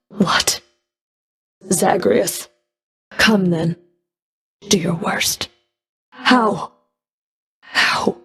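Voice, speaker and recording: A woman's voice: mid-range voice, vocal fry. A woman playing a stern, scornful underworld fury in a video game, with a cold, sardonic, taunting delivery. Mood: startled, angry. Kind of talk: scripted video game character dialogue.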